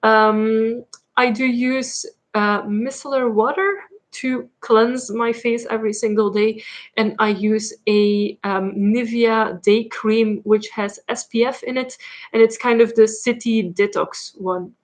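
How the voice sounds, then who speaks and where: A woman's voice: a little high, fairly even intonation, takes it slowly. A young woman from Belgium with a Flemish Dutch accent, chatting casually while answering viewer questions on her livestream, with many ums and likes. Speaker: livestream host. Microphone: laptop mic.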